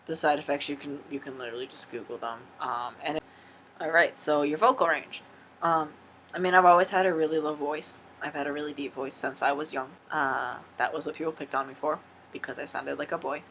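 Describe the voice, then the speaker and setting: A man's voice: on the high side, steady tone, normal speed, a bit bright, slight background noise. A young man casually answering viewer questions on his vlog, frank and rambling, swearing freely. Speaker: vlogger.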